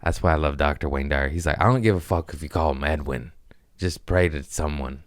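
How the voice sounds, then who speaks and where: Male podcast host, very low voice, dry close sound, joking and cursing freely. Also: fairly quick, no room sound. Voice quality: deep voice. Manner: loose, profane, jokey rambling. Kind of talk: casual chat.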